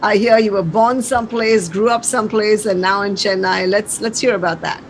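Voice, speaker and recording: A woman's voice: medium pitch, steady tone, normal speed, slight background noise. A woman hosting a conversational radio interview in a chatty, informal way. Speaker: radio show host.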